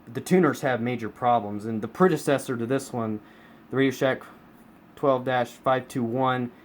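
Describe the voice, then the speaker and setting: A man's voice: medium pitch, moderate pace. A man from the United States giving a casual, unscripted review as a collector, often repeating himself for emphasis. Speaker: radio collector presenting a collection. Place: indoors in a home room. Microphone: handheld camera, speaker behind it. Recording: clear background room noise.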